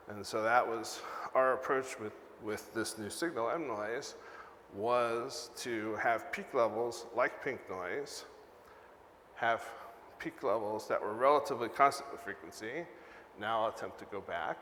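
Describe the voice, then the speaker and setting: A man's voice: fairly low pitch, pushed and bright. An older man giving a technical conference lecture in an explanatory way, repeating points for emphasis, speaking on stage into a lapel mic. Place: large conference hall stage, indoors. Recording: echoey hall PA, some background noise.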